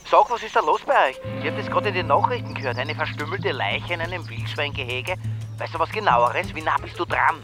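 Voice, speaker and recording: An actor playing a cheeky, morbidly curious Austrian friend in a radio drama, sardonic and slangy. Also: male voice, fairly high pitch, expressive, a little fast, over music, close to an everyday voice. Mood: curious, teasing. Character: cheeky, morbidly curious slacker friend, café bar type.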